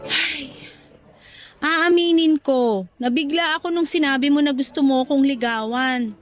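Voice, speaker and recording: A woman's voice: sits high, a little slow. A woman playing a sweet, teasing young worker being courted in a Tagalog radio romance, with a coy, coaxing way of talking. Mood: earnest, tender.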